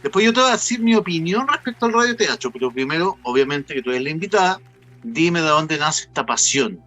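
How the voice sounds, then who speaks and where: A man's voice: a little high, lively intonation, a little fast, pushed and bright, soft background music. A man with a Chilean accent hosting a conversational online radio interview in a playful manner. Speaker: host and interviewer.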